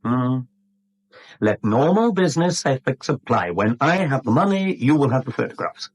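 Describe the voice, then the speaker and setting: An actor playing a smooth, gloating blackmailer in a 1970s radio drama, with a British accent and a glib, mock-polite, theatrical manner. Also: male voice, medium pitch, big pitch swings, moderate pace. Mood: smug, bargaining.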